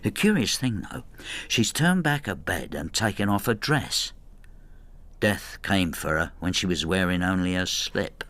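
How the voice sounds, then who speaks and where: A man's voice: deep voice, expressive, unhurried, a bit bright. An actor playing an embittered, spiteful old husband who narrates his marital war in a brooding, intimate radio drama style. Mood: bleak. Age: older.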